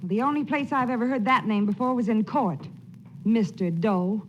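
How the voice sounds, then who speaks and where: An actress playing a frank, feisty young ranch owner in a 1940s radio western. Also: female voice, a little high, lively intonation, moderate pace, close to an everyday voice. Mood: brisk, wary. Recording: muffled 1940s radio recording, faint noise.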